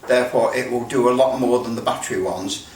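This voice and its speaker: A British man giving a casual hands-on demonstration, halting as he thinks aloud. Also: medium pitch. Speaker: presenter demonstrating electrical testing. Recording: roomy, distant mic with background noise.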